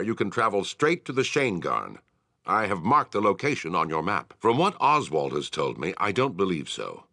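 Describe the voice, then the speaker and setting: Deep, forceful fantasy war leader. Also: male voice, no room sound, studio quality, exaggerated voice. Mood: measured, weighing. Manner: measured, formal, authoritative delivery. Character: grave, commanding male town war leader in fantasy. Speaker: quest-giving military commander. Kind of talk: video game character acting, formal dialogue.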